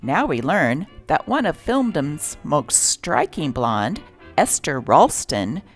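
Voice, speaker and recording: Woman narrator with lively, swooping pitch. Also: slow pace, faint music behind. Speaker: channel host and narrator. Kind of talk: scripted narration, reading vintage newspaper articles aloud.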